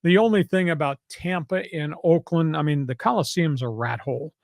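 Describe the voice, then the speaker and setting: A man's voice: on the high side, fairly quick, gravelly, dry recording, studio quality. An older man from New York hosting a sports talk show, opinionated and given to long stories. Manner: opinionated, storytelling.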